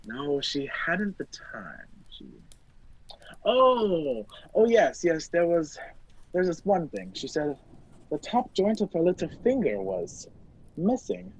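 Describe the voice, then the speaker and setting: Performer playing a husky, flustered gentleman hero. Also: lowish voice, very animated, full character voice. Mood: hesitant. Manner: halting, exclamatory, wryly polite. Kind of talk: amateur radio play acting. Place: indoors, performed over a video call. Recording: muffled video call audio.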